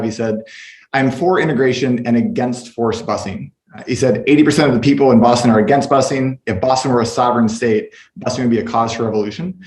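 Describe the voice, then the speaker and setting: A man's voice: mid-range voice, a little mellow. A man speaking as a professor on a panel, giving explanatory, academic analysis. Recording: roomy, echoing sound.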